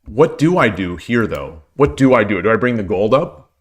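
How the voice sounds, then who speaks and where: Hoarse man thinking aloud over a game. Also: fairly low pitch, very animated, moderate pace. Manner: thinks aloud, repeats phrases. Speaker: shogi streamer. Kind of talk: casual game commentary.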